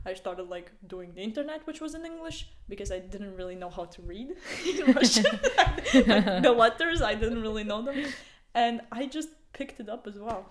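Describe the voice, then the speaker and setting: A young woman from Latvia, a native Latvian speaker, co-hosting a podcast in casual, rambling chat full of fillers and laughter. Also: medium pitch. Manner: rambling, filler-heavy, laughs while talking. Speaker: podcast co-host.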